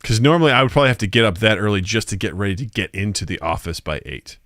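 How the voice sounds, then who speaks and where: A forty-year-old man hosts a live podcast in a loose, joking manner, reading comments from his viewers. His voice sits on the lower side and comes through with a bright, forceful edge. The sound is dry and close. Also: rapid-fire, dry recording. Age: 40s. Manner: laid-back, joking, reads viewer comments aloud. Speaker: podcast host. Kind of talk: casual live-stream chat.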